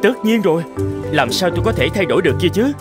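Restless, wistful cartoon dog, played by a man: fairly high male pitch, very animated, eager, music underneath. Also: quick pace. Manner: expressive, eager. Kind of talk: children's cartoon dubbing.

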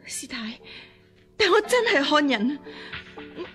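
An actress in a radio drama playing a frightened, scheming fake empress who pleads and stammers. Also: female voice, high-pitched, sing-song, slow, fairly bright tone, faint music behind, heavily acted. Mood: earnest confessing. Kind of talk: Cantonese radio drama acting.

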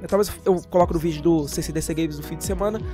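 A man's voice: on the high side, very fast, mellow. Chatty Brazilian man over music. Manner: chatty, jokey, informal. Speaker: gaming channel host. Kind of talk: casual, slangy game update voice-over.

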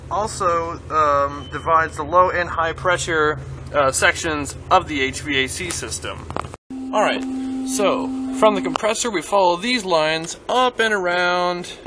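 A man, giving an informal, halting hands-on technical walkthrough as an automotive student. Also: fairly high pitch. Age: 20s. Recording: noisy amateur camera audio, vehicle noise.

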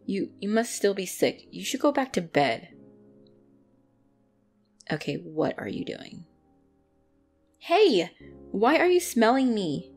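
A woman's voice: mid-range voice, sing-song, slow pace, long pauses, a little mellow, soft background music, full character voice. A woman playing a bratty, easily flustered young tsundere catgirl in an ASMR roleplay, speaking indignantly and defensively to the listener.

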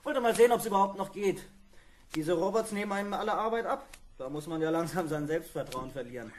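Grumbling space pilot, high male voice, blunt, irritable, noisy vintage sci-fi radio drama. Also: expressive, fairly quick. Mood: casual. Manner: exasperated, blunt. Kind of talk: vintage German sci-fi radio play acting. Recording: noisy old radio play with ambience.

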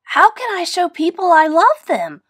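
Storybook young boy pig, played by a woman: eager, innocent, very high and animated. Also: unhurried, heavily acted. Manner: excitable, earnest.

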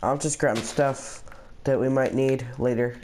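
A man's voice: mid-range voice, fairly even intonation, unhurried, a little mellow, a little hiss or hum. A young man with a cold and sniffles, hosting a casual video-game session in a laid-back way. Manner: laid-back, filler-heavy. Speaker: channel host. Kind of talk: casual gaming commentary.

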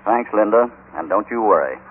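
An actor playing a calm, commanding cowboy hero in a radio western, with an assured American voice. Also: male voice, fairly low pitch, a little fast, husky. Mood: reassuring. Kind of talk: vintage radio western acting. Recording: tinny, noisy old radio recording.

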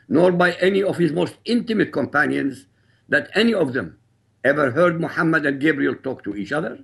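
A middle-aged man from Iraq whose first language is Arabic, a guest author speaking in a studio with a deliberate, emphatic, oratorical delivery. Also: fairly high pitch, gravelly, husky. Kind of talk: studio interview, delivering a prepared statement.

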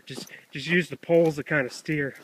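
A middle-aged man filming himself on a snowy mountain hike outdoors, chatting casually into a handheld camera at arm's length, halting with fillers as he pauses on the climb. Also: a little high, slight background noise. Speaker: hiker filming his hike. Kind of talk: casual vlog narration.

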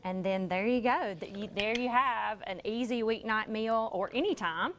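A woman's voice: a little high, normal speed, a bit bright, slight background noise. A woman, a dietitian explaining a recipe on a TV cooking segment in a polite, eager way. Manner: polite, eager, agrees often with yes sir. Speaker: registered dietitian guest. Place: TV studio kitchen set.

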